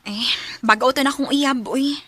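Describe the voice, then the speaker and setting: A woman's voice: high-pitched, moderate pace, a bit bright, slightly rough, dry recording. A woman with a Filipino Bisaya accent acting a blunt, evasive young girlfriend who strings a suitor along in a radio drama. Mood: coy, evasive.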